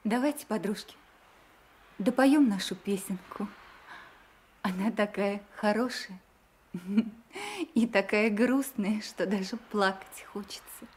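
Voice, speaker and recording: A woman's voice: mid-range voice, expressive, takes it slowly, pauses often, breathy edge. An actress playing a cheeky, sentimental young village girl in a radio drama, girlish and playful. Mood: wistful.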